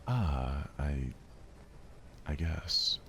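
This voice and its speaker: Everyday schoolboy lead, voiced by a man, deep register, slightly awkward, casual delivery, faint noise. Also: animated, very slow, a bit bright, heavily acted. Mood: casual, unsure. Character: ordinary, awkward teenage schoolboy protagonist.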